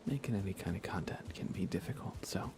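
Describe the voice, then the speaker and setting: A man chatting casually in a soft ASMR gaming-stream voice, often dropping toward a whisper. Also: brisk. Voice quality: creaky, low. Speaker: livestreaming gamer.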